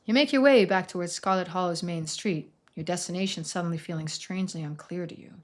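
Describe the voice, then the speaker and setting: A woman's voice: on the low side, brisk. Woman streamer narrating a story game. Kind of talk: casual gameplay commentary and story narration.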